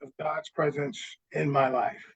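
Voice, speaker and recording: A man, a guest on a radio show, speaking thoughtfully and haltingly with many restarts. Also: on the high side, flat delivery, breathy edge. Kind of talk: reflective conversation. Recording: dull lo-fi line.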